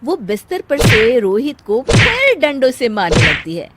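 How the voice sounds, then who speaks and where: A woman's voice: a little high, huge pitch swings, a little slow, heavy background noise. A woman narrating a scripted cartoon story.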